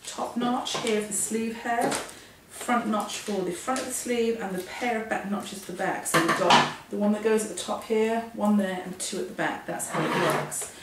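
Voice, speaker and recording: A woman with a hoarse voice, getting over a cold, teaching a hands-on sewing lesson in a chatty, self-deprecating, step-by-step way. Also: medium pitch, fairly even intonation, normal speed, few pauses, far from the mic. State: hoarse, losing her voice from a cold. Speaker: sewing instructor. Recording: echoey room, off-mic, faint noise.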